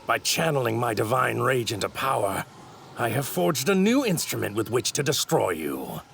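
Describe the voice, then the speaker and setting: A haughty, wrathful villain god from an anime, speaks in formal, grandiose lines. The voice has a gravelly edge and swings theatrically up and down in pitch, every phrase steeped in arrogance. Clear noise runs through the ripped audio. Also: male voice, big pitch swings, moderate pace, fairly bright tone. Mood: cold wrath. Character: arrogant, wrathful male god in a stolen mortal warrior's body. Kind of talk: anime dub villain acting.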